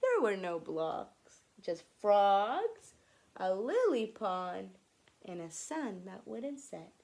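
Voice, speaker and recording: A woman's voice: medium pitch, very animated, takes it slowly, creaky, darkish tone, heavily acted. A woman voicing a kind, affectionate old grandfather in a picture book. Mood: amused, reminiscing.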